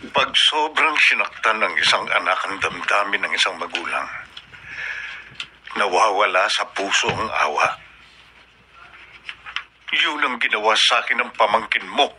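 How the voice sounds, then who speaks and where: Radio drama role: unforgiving middle-aged father, lowish, hard-edged and emphatic. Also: lively intonation, measured pace, long pauses, pushed and bright, slight background noise, full character voice. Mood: bitter, hurt. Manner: stern, emphatic.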